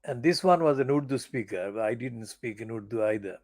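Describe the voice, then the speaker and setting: An older man delivering an angry, profane political monologue as a channel editor, stressing words slowly and deliberately. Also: medium pitch, expressive, a little mellow. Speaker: channel editor giving commentary.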